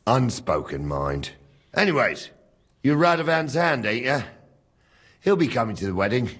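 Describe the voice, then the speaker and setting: Husky, gruff old guard captain. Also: male voice, mid-range voice, big pitch swings, moderate pace, fairly bright tone. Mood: gruff, dry. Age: older. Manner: blunt, teasing, colloquial. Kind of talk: video game dialogue acting.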